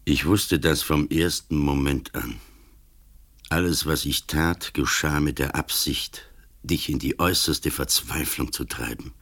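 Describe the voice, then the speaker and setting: Old sick police commissioner, radio play, super low voice, slow n grave, accusing, relentless. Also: male voice, a bit bright, full character voice. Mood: cold, implacable. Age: older. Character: old ailing police commissioner, implacable, judging.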